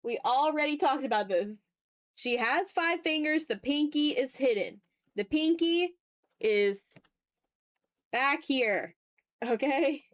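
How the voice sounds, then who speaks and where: A woman's voice: sits high, animated, slow, long pauses, dry recording, clean studio sound. A woman chatting casually as she draws on a livestream, teasing her viewers with playful mock exasperation. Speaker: art streamer. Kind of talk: casual livestream chat while drawing.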